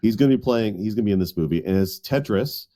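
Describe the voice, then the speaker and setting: Deep, mellow, animated podcast man. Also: fast talker. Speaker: podcast co-host. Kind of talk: casual group chat.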